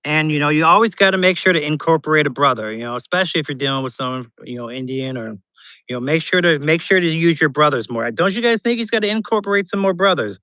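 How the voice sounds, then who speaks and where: Bright, higher-voiced man rambling. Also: animated, quick pace, no room sound, low-quality recording. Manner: rambling, laughs often. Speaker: guest streamer. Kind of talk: casual livestream chat.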